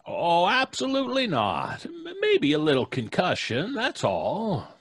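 A man playing a folksy, unhurried small-town doctor, halting and soothing, in old-time radio drama style. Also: high-pitched, wildly swooping pitch, gravelly, a bit bright, breathy edge, no room sound, exaggerated voice.

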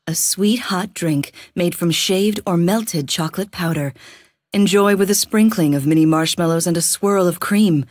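A woman's voice: lowish voice, no echo. Dry, sarcastic woman narrating food entries. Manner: dry, sarcastic deadpan delivery. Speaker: in-game food description narrator. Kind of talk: scripted game voice lines. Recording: dry studio recording.

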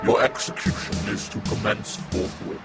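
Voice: mid-range voice, animated, normal speed, natural voice. A man voicing cold, mechanical Cybermen who issue commands in a fan audio drama. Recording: loud music bed.

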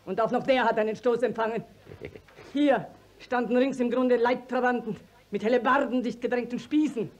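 A woman's voice: fairly high pitch, fairly even intonation, normal speed, close to an everyday voice. An actress in a 1950s radio play voicing an indignant, long-winded village widow who declaims her complaint insistently and in relished detail. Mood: indignant, elaborate.

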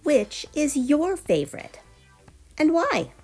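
A woman reading a children's nonfiction book aloud as storyteller, expressive and addressing young listeners directly. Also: high-pitched, takes it slowly. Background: background music.

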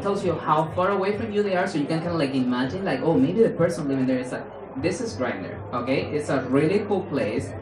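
A young man from Spain with a Spanish accent, performing a playful stand-up set on stage with a handheld mic in a small club. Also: noisy background. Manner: animated, playful, jumps into high mock voices for quotes. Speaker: stand-up comedian. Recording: roomy club sound from far off, audience laughing.

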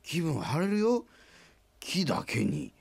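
A man's voice: fairly high pitch, very animated, slow, gravelly, darkish tone, husky, no room sound, pitched above the performer's own voice, heavily acted. A man playing a rambling, jovial middle-aged small-factory president who loves his own puns, in a comic radio drama. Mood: jokey, coaxing.

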